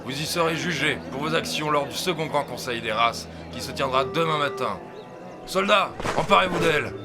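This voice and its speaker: An actor playing an authoritative knight of a royal army, speaking formally in a fantasy audio drama. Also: male voice, on the high side, normal speed, music in the background, natural voice. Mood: commanding.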